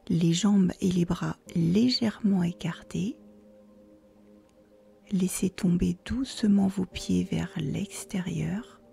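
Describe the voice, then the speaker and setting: Soft whispery lady doing guided meditation, super slow, bit of creak, quiet music behind. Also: mid-range voice. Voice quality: soft, breathy, near-whisper. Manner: calm, slow, soothing instructions with pauses. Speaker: yoga nidra guide.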